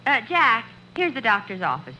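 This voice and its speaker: A woman playing a brisk, practical friend in a 1950s radio comedy. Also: on the high side, huge pitch swings, sharp tone, full character voice, rough recording. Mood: brisk, concerned.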